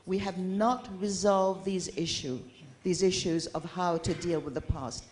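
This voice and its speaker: Measured Iraqi woman with a low voice. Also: a little mellow, a little hiss or hum. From Iraq. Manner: measured, structured, listing points. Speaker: forum participant. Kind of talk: prepared conference remarks.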